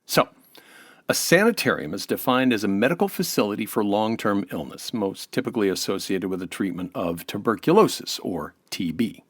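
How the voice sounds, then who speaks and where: A man's voice: lowish voice, expressive, moderate pace, no echo. American man explaining history, dry close sound. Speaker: interview guest, voice actor explaining history. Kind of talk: semi-scripted informative explanation.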